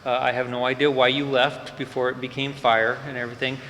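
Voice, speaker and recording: A man's voice: medium pitch, level tone, a little fast, a bit bright. A man introducing graduates at a fire department ceremony, giving off-the-cuff remarks with frequent fillers. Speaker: fire department EMS supervisor presenting cadets. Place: large indoor hall at a ceremony. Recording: echoey hall with faint ambient noise.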